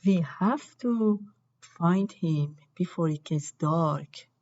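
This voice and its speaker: An earnest, fretful little storybook girl, acted out by a woman reader, speaking at a slow pace. The sound is a little dull and lo-fi. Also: on the low side, darkish tone. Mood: worried.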